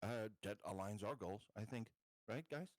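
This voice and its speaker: A man with a slightly low voice takes part in a casual tabletop game. He feels his way through questions and plans, repeating words as he goes. The sound is very dry. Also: normal speed, dry recording. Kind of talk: casual group game discussion.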